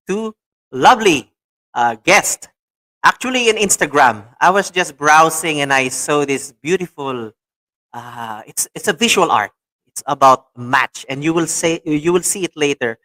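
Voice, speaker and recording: A man's voice: medium pitch, expressive, measured pace, sharp tone, low-quality recording. A man hosting an online talk show, enthusiastic and repetitive, with many fillers. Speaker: show host.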